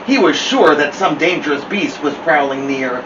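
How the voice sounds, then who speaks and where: A man hosting storytime, reading a children's picture book with expressive, deliberate phrasing. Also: on the high side, dull audio. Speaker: storytime host and reader. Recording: roomy, distant mic, noisy hum.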